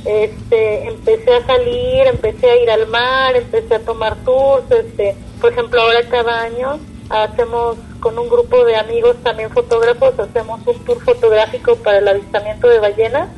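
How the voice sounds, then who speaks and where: A woman's voice: fairly high pitch, level tone, moderate pace, lo-fi sound, slight background noise. A woman from Mexico with a Mexican accent, speaking casually and conversationally as an interview guest. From Puerto Vallarta, Mexico.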